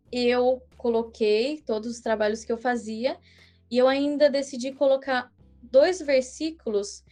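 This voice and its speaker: A young woman whose first language is English, telling her story as a guest on a podcast in an earnest, measured way with small restarts. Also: on the high side, a little mellow. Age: young adult. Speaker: guest missionary. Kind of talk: casual podcast interview.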